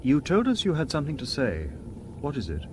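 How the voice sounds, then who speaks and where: An actor in a radio drama playing a calm, coolly logical humanoid robot detective with formal, precise speech. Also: male voice, a little high, a little fast, noisy background. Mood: calm, questioning.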